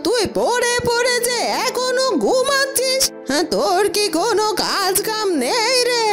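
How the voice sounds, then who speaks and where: A woman's voice: very high-pitched, animated, a little slow. A woman voicing a fretful, caring old village mother in a cartoon, scolding and coaxing her son. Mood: nagging, plaintive. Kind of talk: cartoon voice acting. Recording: music behind the voice.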